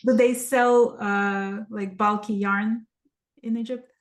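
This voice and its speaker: A woman's voice: mid-range voice, fairly even intonation, slow pace. A woman hosting an interview, a non-native English speaker, asking curious, friendly questions. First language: not English. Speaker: host. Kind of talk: casual interview.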